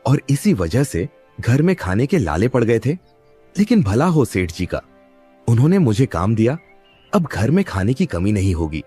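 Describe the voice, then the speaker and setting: A man's voice: medium pitch, mellow, breathy edge. A man playing a poor, earnest village labourer in a cartoon moral story, with a pleading, trusting manner. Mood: grateful.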